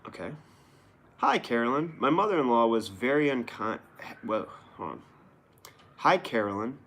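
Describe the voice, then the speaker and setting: A man's voice: medium pitch, sing-song, moderate pace, a bit bright. A man reading the news aloud and rambling casually about it as a show host, with sarcastic, feigned astonishment.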